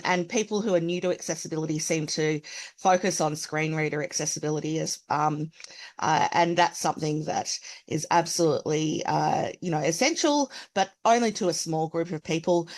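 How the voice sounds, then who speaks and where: A middle-aged woman with an Australian accent, giving a webinar presentation over a video call. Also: deep voice. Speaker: presenter, accessibility expert.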